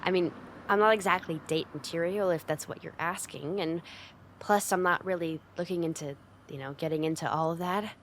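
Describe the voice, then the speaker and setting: A woman playing a brash delinquent young woman in an ASMR roleplay, speaking straight to the listener in a blunt, informal way with halting hesitations, gruff but secretly caring. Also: fairly low pitch, fairly bright tone, natural voice. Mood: flustered, guarded. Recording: clear background noise, outdoor street ambience.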